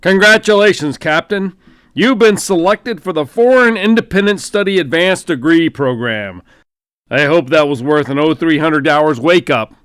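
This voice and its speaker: A man voicing a loud, blustery older male general barking congratulations over the phone. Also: fairly high pitch, animated, normal speed, smooth, no echo, studio quality, pitched above the performer's own voice. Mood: booming. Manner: loud, blustery, folksy military bark.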